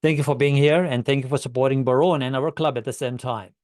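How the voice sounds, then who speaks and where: A man's voice: medium pitch, fairly even intonation, fast talker, darkish tone, dry recording, studio quality. A man hosting an online club meeting, greeting guests in a friendly, upbeat way over a video call.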